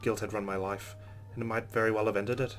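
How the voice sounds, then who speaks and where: Quick-talking, low-pitched man narrating a game. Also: level tone, faint music behind. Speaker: let's play host. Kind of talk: reading game narration aloud, with casual asides. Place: recorded voice-over with game music behind.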